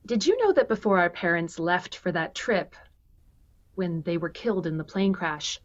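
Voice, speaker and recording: A woman's voice: mid-range voice, muffled recording, lightly acted. A woman playing an earnest, unsure heiress in an online table read of a stage musical, recorded over a video call. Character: earnest, unsure adult banker's daughter.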